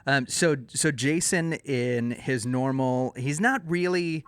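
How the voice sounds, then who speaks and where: A man, a pastor from Texas, co-hosting a podcast and telling stories in a casual, lively way. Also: slow pace, clean tone, dry recording. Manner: lively storyteller, drops low and quiet at times.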